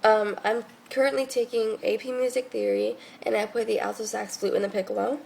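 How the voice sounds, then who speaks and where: A young woman with a stuffy, congested voice explains music in a casual, rambling way, filling gaps with "um" and "like". Her voice has a gravelly edge of fry. A faint hiss sits under the recording. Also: a little high, expressive, a little fast, a little mellow. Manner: chatty, many "um" and "like" fillers. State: congested from a cold. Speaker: music student tutor. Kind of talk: casual tutorial.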